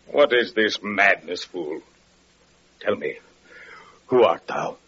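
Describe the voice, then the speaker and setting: Scornful, tormented wanderer, sharp-voiced. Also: male voice, low-pitched, animated, takes it slowly, exaggerated voice. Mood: bitter disdain. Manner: mocking, scornful, archaic declamation. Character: bitter, lame, evil-faced wanderer, tormented and scornful. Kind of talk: old-time radio drama acting. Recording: muffled, noisy vintage radio recording.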